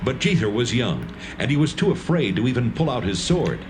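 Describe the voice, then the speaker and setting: A man narrating a dramatised scripture reading with a measured storytelling delivery. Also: mid-range voice, very animated, music in the background.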